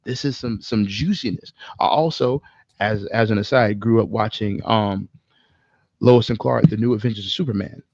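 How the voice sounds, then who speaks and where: Male co-host, gravelly voice, foul-mouthed casual storyteller, dry but slightly muffled sound. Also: on the low side, moderate pace, dry recording. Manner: animated, profane, slangy. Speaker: podcast co-host.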